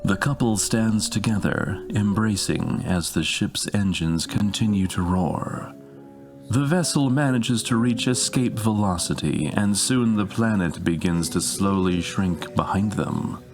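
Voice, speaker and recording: A man narrating a scripted sci-fi story in an ominous, measured, theatrical way. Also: on the low side, a little mellow, faint music behind.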